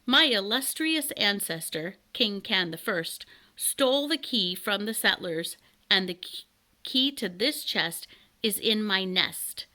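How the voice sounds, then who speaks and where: A woman voicing a pompous, excitable male canary king from a storybook, commanding and self-important. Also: mid-range voice, slow. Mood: bossy, impatient.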